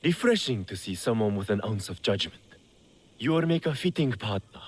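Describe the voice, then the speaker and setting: An actor playing a smooth, self-serving male smuggler boss in feudal Japan, suave and mock-courteous, in a video game. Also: lowish voice, expressive, moderate pace, a little mellow. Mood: suave, calculating. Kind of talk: scripted video game cutscene acting.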